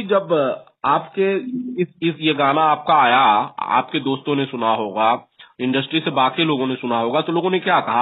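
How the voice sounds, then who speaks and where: A man working as a news interviewer, putting formal, pressing questions over a phone call. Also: on the high side. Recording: thin phone-like sound.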